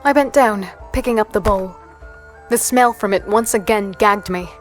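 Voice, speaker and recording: A woman playing an earnest storyteller, giving measured, deliberate scripted narration of a dark fantasy tale. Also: medium pitch, soft background music, lightly acted. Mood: uneasy.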